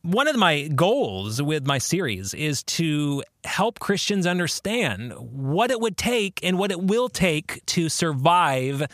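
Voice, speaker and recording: A man hosting a podcast, explaining theology in an informal monologue with a halting, thoughtful delivery and emphasis on key words. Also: on the high side, fairly bright tone, no echo, studio quality.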